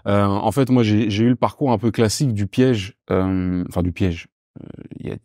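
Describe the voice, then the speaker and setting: Man, 30s, from Paris, deep mellow voice, casual interview guest, fillers, slang. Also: normal speed, no echo, clean studio sound. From Paris, France. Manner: relaxed, informal, many fillers and slang, searching for words. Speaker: podcast guest.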